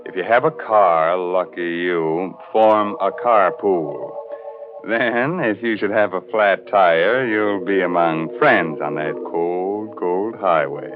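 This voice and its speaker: An American man hosts an old radio mystery show with macabre relish and sly puns. He speaks low and very slowly, on a tinny vintage recording with faint music. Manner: macabre, mock-sinister, punning. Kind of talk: 1940s radio horror hosting.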